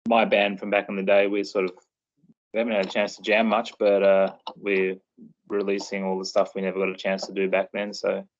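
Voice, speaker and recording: A man's voice: deep voice, monotone, a little fast. A man hosting a casual podcast chat, talking in a laid-back, rambling way. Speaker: podcast host. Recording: dull lo-fi recording.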